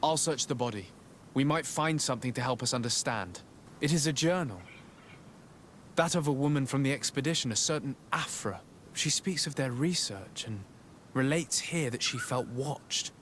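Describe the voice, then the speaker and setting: A man playing a composed, courteous young diplomat-adventurer in a video game, speaking in measured, earnest, formal phrases. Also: medium pitch, a bit bright, slight background noise, natural voice. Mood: composed, grave. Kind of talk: video game cutscene acting.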